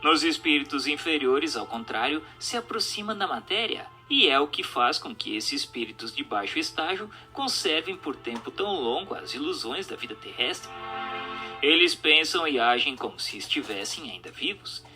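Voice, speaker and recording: Brazilian male narrator, sharp and very bright, highly varied intonation, formal didactic dramatized reading. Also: big pitch swings, moderate pace, faint music behind. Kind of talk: dramatized reading of a spiritual text.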